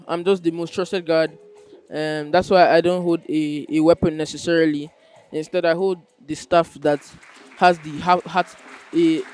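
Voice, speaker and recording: A young man with a Nigerian accent explaining his stage role in an informal interview, in a halting way. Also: fairly high pitch, a little mellow, clean tone. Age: teenager or young adult. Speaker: student actor in a school play. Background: music.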